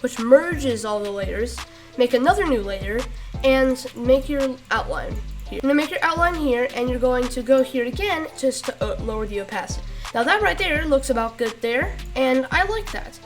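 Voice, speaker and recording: A woman with a rough voice giving a casual step-by-step tutorial, halting with fillers and restarts. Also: high-pitched, animated, faint music behind. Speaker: tutorial creator. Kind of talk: casual screen-recorded tutorial.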